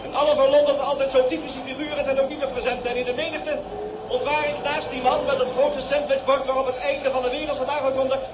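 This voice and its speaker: An actor playing an excited male reporter giving live outdoor commentary in a 1960s Dutch radio drama. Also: very high-pitched, quick pace. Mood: eager, descriptive. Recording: dull, noisy, echoing vintage broadcast. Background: crowd and street noise.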